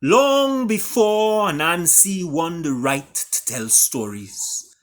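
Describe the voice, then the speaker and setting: A man with a Trinidadian accent, an author and storyteller speaking theatrically, with booming emphasis and hushed drops. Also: lively intonation, slow. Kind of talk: dramatic storybook reading and introduction.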